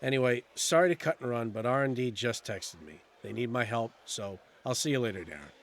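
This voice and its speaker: Man playing cynical male coworker, average pitch, blunt, chummy, casual, audio drama. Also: brisk, lightly acted. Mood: matter-of-fact.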